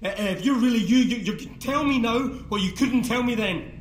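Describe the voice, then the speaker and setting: A man's voice: very high voice, a little fast, a little hiss or hum. An actor playing a distraught, accusing young man in an audio drama, insistent and confrontational. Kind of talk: audio fiction drama acting. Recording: roomy sound with music behind.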